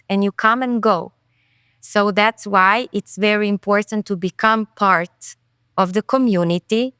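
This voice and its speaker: A woman speaks in an interview, earnest and thoughtful, with quick self-corrections. Her voice is smooth and clear at a middle pitch. The sound is dry and close. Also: fairly bright tone, no echo. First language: Serbian. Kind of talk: podcast interview conversation.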